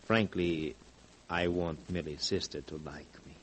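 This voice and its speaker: Smooth mysterious charmer from 40s radio drama, low deep voice, courtly, slightly noisy. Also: male voice, fairly even intonation. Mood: suave. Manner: smooth-talking, courtly, deliberate. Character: suave, mysterious, flattering handsome suitor. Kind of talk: 1940s radio drama acting. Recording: noisy vintage radio recording.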